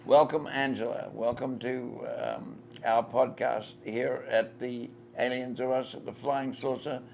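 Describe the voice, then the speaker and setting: A man with a New Zealand accent hosting a live-stream interview from inside a themed shop, speaking slowly and deliberately. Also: medium pitch, creaky, slight background noise. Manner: slow, deliberate, repeats the shop address. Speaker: podcast host.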